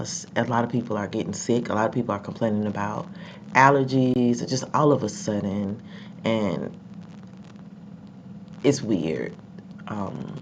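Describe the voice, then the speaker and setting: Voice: medium pitch, vocal fry. A sick, congested vlogger talking casually to viewers, rambling with many ums and repeated words. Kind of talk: casual commentary vlog. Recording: dull lo-fi with faint background noise.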